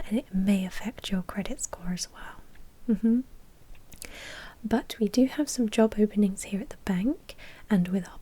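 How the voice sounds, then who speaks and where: A woman playing a chatty, politely officious vampire bank manager in a whispered, breathy ASMR roleplay. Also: medium pitch, mellow.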